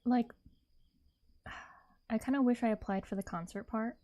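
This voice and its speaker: A woman's voice: fairly high pitch, unhurried, warm tone. A woman chatting casually to her livestream viewers in a rambling, repetitive way. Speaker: streamer, artist and ASMR creator.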